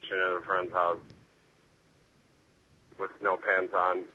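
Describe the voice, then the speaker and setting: A groggy, hungover man leaving a rambling, halting casual voicemail. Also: deep voice, completely flat delivery, bright, forceful tone. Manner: halting, trailing. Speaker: voicemail caller. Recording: noisy dull voicemail from car.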